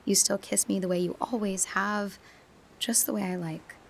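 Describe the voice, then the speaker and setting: A woman's voice: medium pitch, steady tone, normal speed, gravelly, slight background noise, lightly acted. A woman playing a wistful young adult back home from university, speaking in a reflective, confessional radio drama monologue. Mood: troubled, tender.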